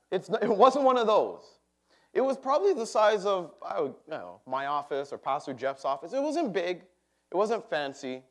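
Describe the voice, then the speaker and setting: A man delivers a sermon in a church sanctuary. He is animated and humorous, joking with the congregation as he preaches. His voice sits a little higher than most men's. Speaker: pastor.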